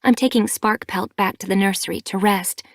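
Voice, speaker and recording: A woman reading an audiobook, voicing a calm healer she-cat who speaks gently and reassuringly. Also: medium pitch, normal speed, no room sound, clean studio sound, close to an everyday voice.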